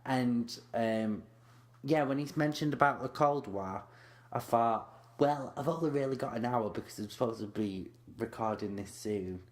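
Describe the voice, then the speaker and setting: A young man co-hosting a comedy podcast, sweary and deadpan, teasing his friend. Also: mid-range voice, moderate pace. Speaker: podcast co-host. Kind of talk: casual comedy podcast chat.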